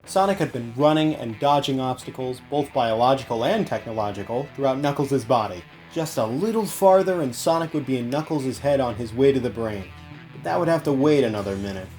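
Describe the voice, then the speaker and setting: A man's voice: mid-range voice, brisk, darkish tone. Male audiobook narrator over background music. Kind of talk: scripted dramatic story narration.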